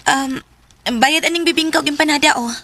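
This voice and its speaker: A polite, bashful station attendant in a Cebuano radio drama. Her voice sits fairly high, with slight background noise. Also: fairly even intonation, brisk. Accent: Cebuano Filipino. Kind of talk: Filipino radio drama acting.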